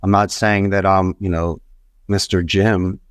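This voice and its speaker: A man's voice: sits low, flat delivery, a little slow, clear, resonant tone, no echo, studio quality. A middle-aged man from Philadelphia, a guest in an interview, giving rambling motivational business advice with emphatic, repeated phrases. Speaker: podcast guest, call center entrepreneur.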